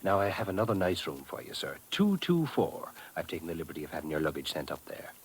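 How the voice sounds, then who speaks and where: An actor playing an obsequious hotel desk clerk in an old radio drama, stammering, fussy and apologetic. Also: male voice, low voice, animated, fast talker. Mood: flustered, apologetic. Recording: noisy old radio recording.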